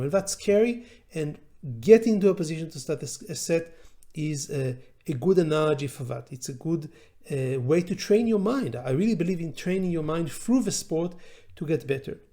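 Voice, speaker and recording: Measured man with a mellow, higher voice. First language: Hebrew. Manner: deliberate and measured, with pauses and filler sounds. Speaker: law professor hosting an educational channel. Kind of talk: reflective educational monologue.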